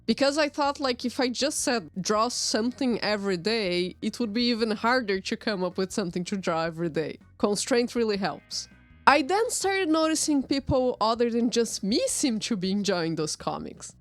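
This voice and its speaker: A woman whose native tongue is Portuguese talks through her art in a relaxed, dryly self-mocking way. Her voice sits a little high, with soft music underneath the narration. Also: moderate pace, no echo. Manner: relaxed, wry, self-deprecating. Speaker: artist and channel host. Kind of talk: voice-over narration over drawing timelapse.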